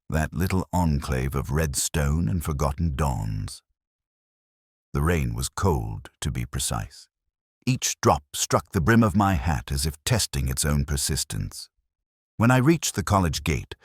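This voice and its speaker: A man narrating a scripted first-person detective story in a measured, brooding, literary manner. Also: a bass voice, dry recording, studio quality.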